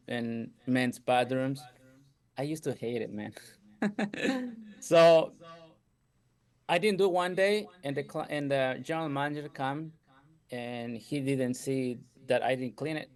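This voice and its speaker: A man's voice: mid-range voice, clear, resonant tone. A middle-aged man from Ecuador with a light Ecuadorian Spanish accent, telling his story as a guest in a casual interview, chatty and laughing often. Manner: chatty, laughs often, says "you know" a lot. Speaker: guest entrepreneur.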